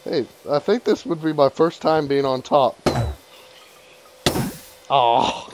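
A man casually commenting on his own gameplay, playful and laughing, with sudden excited yelps. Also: on the high side, slow, slight background noise. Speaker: gaming channel host.

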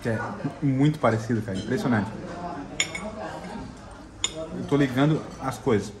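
A man's voice: mid-range voice, normal speed. A man with a southern Brazilian accent chatting informally in a casual food vlog, often repeating himself. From Santa Catarina, southern Brazil. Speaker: travel food vlogger. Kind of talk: casual vlog chat while tasting food. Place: inside a restaurant. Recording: busy restaurant chatter behind.

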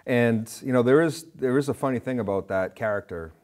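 A man's voice: medium pitch, fairly quick, darkish tone. A man in his forties chatting casually as a guest, rambling and emphatic, often repeating himself. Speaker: guest on a film discussion show. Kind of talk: casual chat. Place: indoor studio stage with curtain. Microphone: lapel mic.

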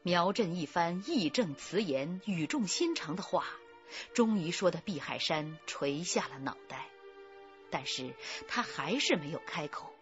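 A woman's voice: fairly low pitch, very animated, measured pace, faint music behind. A woman reading serious, suspenseful scripted narration for a crime radio drama. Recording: slightly dull lo-fi audio.

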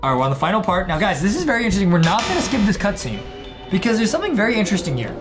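Animated high-voiced young man gaming. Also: very fast. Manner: animated, repeats phrases, addresses viewers. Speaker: gaming video host. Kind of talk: excitable gameplay commentary. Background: music.

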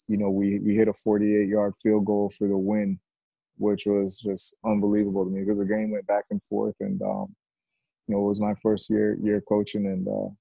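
A middle-aged man, a football coach being interviewed on a video call, speaking reflectively and haltingly with repeated words. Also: fairly low pitch, monotone, gravelly, mellow, breathy edge, no room sound, rough recording. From Jamaica and United States. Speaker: high school football coach, interview guest. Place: covered outdoor porch.

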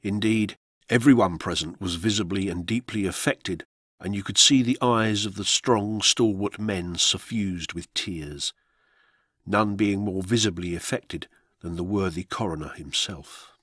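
A man narrates a historical account for radio in a solemn, measured way. His voice sits a little low and has a husky grain. The recording is dry and close, as in a studio. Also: fairly bright tone, no room sound. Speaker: narrator reading true-crime history.

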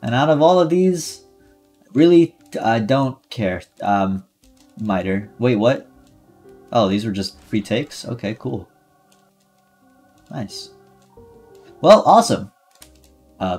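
Low-voiced, excitable man commentating a game. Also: unhurried, breathy edge. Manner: excitable, swinging from muttered asides to shouts. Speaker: video game streamer. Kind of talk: casual, reactive gameplay commentary. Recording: game music behind the voice. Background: video game music.

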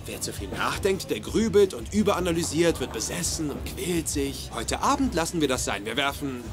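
An actor playing an anxious, earnest young boyfriend, eager to please and quick to apologise, in a dubbed sitcom. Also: male voice, a little high, expressive, over music, lightly acted. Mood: awkwardly earnest.

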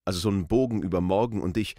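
A man's voice: deep voice, fast, dark tone, no room sound. A man, a voice artist giving an explanatory tutorial into a close studio microphone. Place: small voice-over studio booth. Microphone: close studio microphone on a boom arm.

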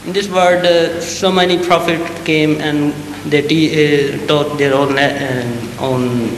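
A middle-aged man whose first language is Urdu, standing at a mic before an audience and asking a question in halting English. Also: fairly high pitch, steady tone. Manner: halting, searching for words. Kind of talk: hesitant question from the floor. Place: large indoor hall, standing before an audience. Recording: echoey hall, faint room noise, old video.

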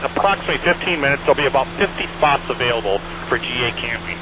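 A man directs arriving planes over aviation radio at a quick pace. His instructions are clipped and repeated, with courteous touches. The sound is narrow and dull, with clear engine noise behind it. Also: medium pitch, expressive. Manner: clipped, repetitive directives with courteous sign-offs. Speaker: air traffic controller at an airshow arrival. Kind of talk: rapid radio traffic instructions. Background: small airplane engine drone.

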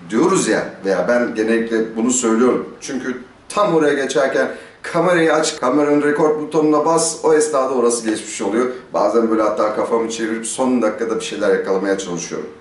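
A middle-aged man with a medium-pitched voice presents a gear review in a conversational, explanatory style. He puts questions to the listener and answers them himself. The sound is clearly echoey, picked up a little way from the microphone in a stone-walled courtyard. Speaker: motorcycle gear reviewer, host. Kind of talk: casual explanatory product review to camera. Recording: roomy echo, distant microphone.

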